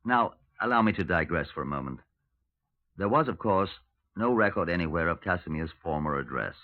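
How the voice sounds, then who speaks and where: A muffled old radio recording of a middle-aged police superintendent. His low voice swings freely in pitch while staying dry and methodical. He sounds world-weary and formal, choosing words with deliberation. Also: expressive, fairly quick. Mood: gloomy narration. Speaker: detective and narrator. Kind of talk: 1950s radio crime drama. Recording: muffled, narrow-band 1950s radio recording.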